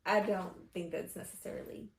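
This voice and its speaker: A woman's voice: mid-range voice, a little fast, vocal fry. A woman co-hosting a podcast in casual chat, laughing often and talking with animation. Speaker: podcast co-host. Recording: echoey room.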